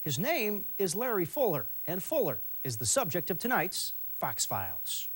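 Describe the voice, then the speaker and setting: A man's voice: high voice, huge pitch swings, normal speed. A man narrating a scripted television news feature as a reporter. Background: music.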